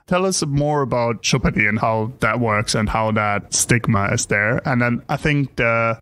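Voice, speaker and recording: A man's voice: mid-range voice, normal speed, a bit bright, dry recording. A man interviewing as a podcast host, conversational, putting questions and murmuring brief agreement as he listens.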